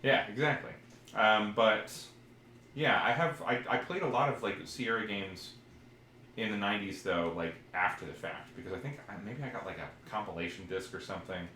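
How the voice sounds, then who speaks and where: A man's voice: fairly low pitch, normal speed, pushed and bright. A man co-hosting a casual podcast about retro games, talking in a rambling way as he searches for details. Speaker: podcast co-host. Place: indoor room, recorded live on stream. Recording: roomy echo, clear background noise.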